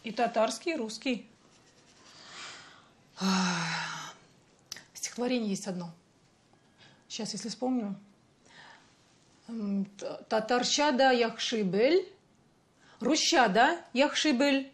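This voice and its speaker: An actress playing a patient, playful mother in her forties with a Russian accent, gently explaining things to her child in a TV sitcom. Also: a little high, slow pace, long pauses. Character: bilingual mother, patient, fond of teaching. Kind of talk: television sitcom acting.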